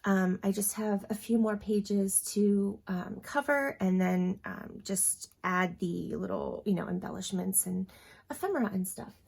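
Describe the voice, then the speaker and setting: A woman's voice: medium pitch, a little slow, vocal fry, darkish tone, breathy edge. A woman hosting a crafting tutorial at home, chatting casually with lots of ums and asides, a little sniffly from allergies. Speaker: crafting channel host.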